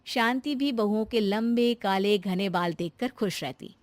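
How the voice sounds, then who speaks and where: A woman's voice: mid-range voice, measured pace, no echo. A woman giving scripted narration as the storyteller of a tale.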